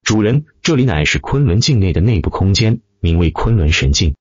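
A man's voice: low-pitched, big pitch swings, brisk, slightly rough, no room sound, highs cut off, heavily acted. A man voicing a cheerful, obedient little girl spirit of a magic mirror in an audiobook. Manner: smiling, obedient, explanatory.

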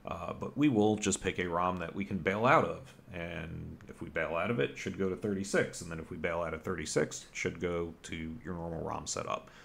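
A man with a deep, gravelly voice talks through a computer hardware repair in a loose, rambling way. He sounds informal and a little self-mocking. A faint hiss sits under his voice from the home bench. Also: brisk, hardly any pauses, a bit bright. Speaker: electronics hobbyist host. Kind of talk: casual technical walkthrough. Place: home workbench with computer gear.

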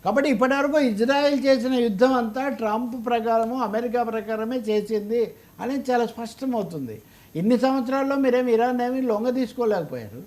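High-pitched, emphatic Telugu man. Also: fairly quick, a little mellow. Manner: emphatic, argumentative, rhetorical questioning. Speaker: political analyst and commentator. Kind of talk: political commentary in an interview.

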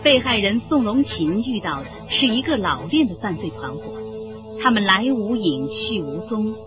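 A woman's voice: medium pitch, sing-song, moderate pace. A woman delivering scripted narration for a radio drama. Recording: dull radio recording with music behind.

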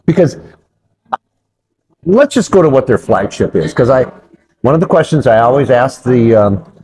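A man's voice: mid-range voice, very animated, moderate pace, a little mellow. Older man chatting in a rambling way. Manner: rambling, circles back over phrases. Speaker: co-host of a beer review show. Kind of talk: casual unscripted chat. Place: brick-walled pub, indoors at a table.

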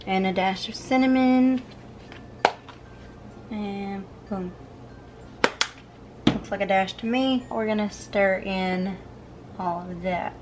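A woman's voice: medium pitch, takes it slowly, long pauses, music in the background. Chatty young woman cooking at home. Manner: chatty, upbeat, talks while cooking. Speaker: cooking vlogger. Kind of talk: casual cooking video. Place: home kitchen, indoors.

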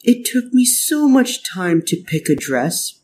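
A spoiled little princess from a children's storybook, as a male narrator puts her on, whiny and emphatic. The pitch sits high and swings widely, and the words come out slowly and deliberately. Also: sing-song, a little mellow, slight background noise, full character voice. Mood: upset. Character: spoiled bossy little princess girl, later contrite. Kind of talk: children's storybook read-aloud.

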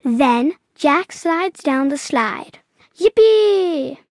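A woman narrating a children's story in an enthusiastic read-aloud style, clearly paced for young listeners. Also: sits high, lively intonation, very slow, a little mellow, dry recording.

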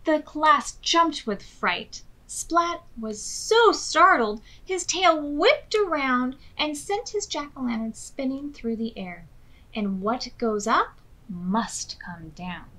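An American woman in her thirties hosting storytime, reading aloud expressively and clearly to young children. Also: high voice, expressive, slow, pushed and bright, low-quality recording. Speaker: children's storytime host at a public library. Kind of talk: read-aloud storytelling for preschoolers. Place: small furnished room indoors.

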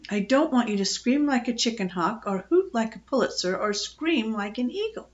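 Woman as a firm, sensible farmhouse lady, lively intonation. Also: fairly high pitch, lo-fi sound. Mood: cross, firm. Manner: brisk, stern, matter-of-fact.

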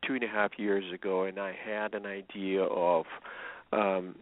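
A man born in Russia, a musician guest telling stories over the phone with many pauses and fillers. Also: low-pitched, no room sound. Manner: relaxed storytelling with frequent fillers. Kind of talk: phone-in interview. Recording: tinny phone line.

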